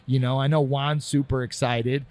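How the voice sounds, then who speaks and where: A man's voice: mid-range voice, little pitch movement, normal speed, darkish tone. A man hosting a podcast, joking and pushy in easy banter. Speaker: podcast host. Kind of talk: casual podcast interview.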